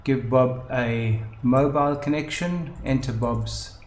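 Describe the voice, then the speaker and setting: A man narrating a step-by-step software demonstration in measured, explanatory phrases. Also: mid-range voice, steady tone. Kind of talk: step-by-step instructional narration of a screen demo. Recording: roomy, echoing voice-over.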